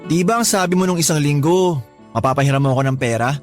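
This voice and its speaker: A man plays a smooth-talking young suitor with a fairly high voice, charming on the surface but pushy and demanding. Faint music plays behind him in this radio drama. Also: fast, close to an everyday voice. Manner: charming at first, then demanding. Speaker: suitor Dennis.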